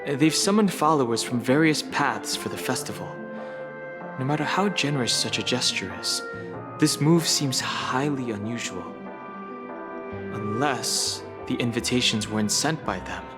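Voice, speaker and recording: Reserved investigator for a story game, male actor: medium pitch, serious, methodical, formal phrasing. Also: lively intonation, a little slow, music in the background, lightly acted. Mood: serious, analytical. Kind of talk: video game story dialogue acting.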